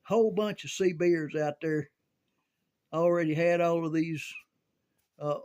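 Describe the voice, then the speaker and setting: An older man telling casual, rambling recollections in a halting, folksy way, as if thinking aloud. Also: a little high, a little mellow. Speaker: amateur storyteller reminiscing about CB radio.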